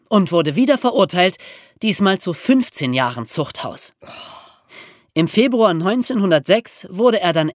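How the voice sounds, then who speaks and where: Podcast history presenter, mid-pitched voice, lively intonation, dramatic storytelling emphasis, dry studio sound. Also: dry recording.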